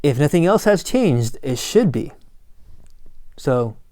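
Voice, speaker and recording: A man giving a recorded college lecture in a casual, joking way, his voice slightly hoarse, often checking in with "right?". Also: on the high side, sing-song, slow, warm tone, no echo, studio quality. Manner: casual, corny asides, frequent "right?" and "um". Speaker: college instructor.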